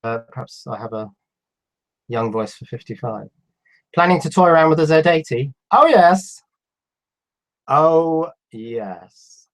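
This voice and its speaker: A man's voice: a little high, low-quality recording. Fifty-something man with a cold, chatting casually. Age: 50s. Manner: reads viewer questions aloud, then answers them in a relaxed, halting way. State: has a cold. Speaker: electronics YouTuber answering live chat. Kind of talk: casual live stream chat.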